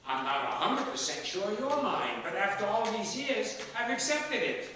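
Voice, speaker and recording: A man's voice: high voice, sing-song, moderate pace, background noise. An actor playing a spry, stubborn ninety-seven-year-old father in a stage play, defensive and dryly joking. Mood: dryly teasing. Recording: echoey stage hall from far off, clicks.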